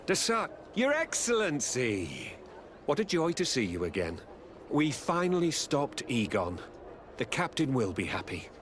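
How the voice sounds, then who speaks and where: An actor playing a scheming, corrupt male lieutenant in a video game, sly and lively. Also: medium pitch, a little slow, a little hiss or hum. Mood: falsely cheerful.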